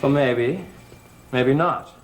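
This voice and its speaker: Probing, courteous man, medium pitch. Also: drawn-out words, a little hiss or hum, rough recording. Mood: curious. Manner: inquisitive, composed. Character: probing, courteous cousin investigating a mansion's secret. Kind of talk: 1960s-70s gothic soap opera acting. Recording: old TV soundtrack with loud background music.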